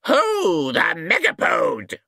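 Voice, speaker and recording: A man voicing an excitable male wizard in an audiobook, giving drawn-out hunting cries. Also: no echo, studio quality, full character voice. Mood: excited. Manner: drawn-out, high whooping cries.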